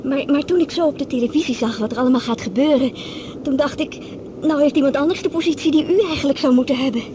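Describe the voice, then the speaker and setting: Woman playing a stewardess, high voice, polite, inquisitive, hesitant, radio play, heavy background noise. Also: quick pace, natural voice. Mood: hesitant, curious. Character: polite, curious young female air stewardess. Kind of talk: Dutch radio play acting. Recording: radio drama with loud cabin rumble.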